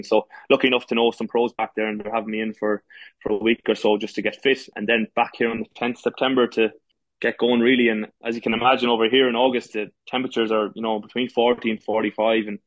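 Brief: Irish man in his thirties, rapid level speech, halting restarts. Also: mid-range voice, dry recording, poor audio. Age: 30s. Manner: many false starts and fillers. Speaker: podcast guest, goalkeeper and coach. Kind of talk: casual interview talk.

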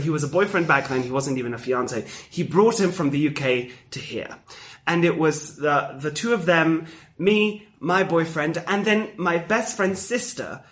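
A man, co-hosting a casual chat show and telling stories with lots of acted-out voices. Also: fairly high pitch. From Mexico. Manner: animated storyteller, acts out quoted voices. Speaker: podcast co-host.

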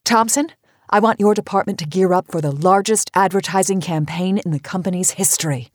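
Woman playing a low, husky, imperious boss. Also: fairly quick, no echo, studio quality, lightly acted. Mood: commanding, grand. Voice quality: husky, breathy. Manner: commanding, grand. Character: imperious, secretive female cosmetics company boss. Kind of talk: campy B-movie audio drama acting.

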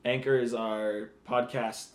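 A young man chats loosely as a podcast co-host, wandering through explanations and filling gaps with "um" and "like." He sits at a middle pitch, and the room around him rings with echo. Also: flat delivery, slow pace. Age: young adult. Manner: rambling, loose explanations with many fillers. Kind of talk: casual podcast chat.

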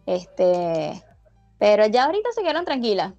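A woman's voice: medium pitch, very animated, normal speed, a little mellow, dull audio, soft background music. A woman from Venezuela with a Venezuelan accent that carries a slight Argentine lilt, chatting casually as an interviewee and rambling through many restarts and fillers. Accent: Venezuelan Spanish with a slight Argentine lilt. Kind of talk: casual chat.

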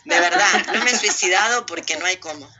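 A woman speaks as an interview guest in a very bright, tense voice. She is blunt and sardonic, stressing her opinions with emphatic repetition. The sound is a bit muffled and lo-fi. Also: lowish voice, a little fast, slightly rough. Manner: blunt, sardonic, emphatic, repeats phrases for effect. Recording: muffled lo-fi audio.

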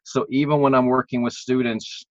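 A man's voice: medium pitch, little pitch movement, normal speed, no echo. Enthusiastic male vocal coach, dry lo-fi sound. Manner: enthusiastic, repeats words while thinking. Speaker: vocal coach, podcast co-host. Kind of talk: casual teaching chat.

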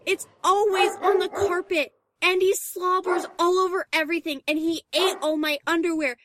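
Young female dog owner, overwhelmed and exclaiming, very high voice, slow delivery, audio drama played by a woman. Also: animated, noisy background, full character voice. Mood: distressed, fed up. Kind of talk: scripted audio story acting.

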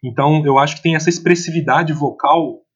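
A Brazilian man discussing film analytically off the cuff, haltingly, repeating words and often adding tag questions. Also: fairly high pitch, fairly even intonation, breathy edge, muffled recording. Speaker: film commentator.

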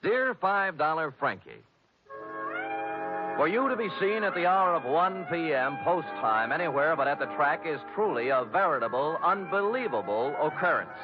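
Man performing a streetwise private detective, American, wry and slangy, animated intonation, old radio show, music behind. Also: fairly high pitch, moderate pace, close to an everyday voice. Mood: mock-dramatic. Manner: wry, dry, slangy wisecracking. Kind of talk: 1950s radio detective acting, narration and dialogue. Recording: dull vintage radio recording, music behind.

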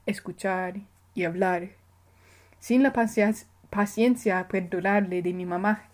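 Mellow-voiced woman reading her essay. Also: mid-range voice. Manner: careful, measured reading with small self-corrections. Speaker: writer. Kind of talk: reading her own personal essay aloud.

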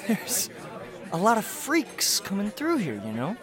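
A man's voice: high-pitched, wildly swooping pitch, measured pace, music in the background, a crowd in the background, exaggerated voice. A man playing a rowdy, menacing, taunting drunkard in a radio drama, with slurred, rambling speech. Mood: mocking menace.

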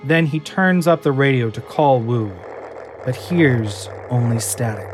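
A man narrates stage directions in a mid-range voice with an even, descriptive read. Music plays clearly behind him. The tone stays steady throughout. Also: mid-range voice, a little slow.

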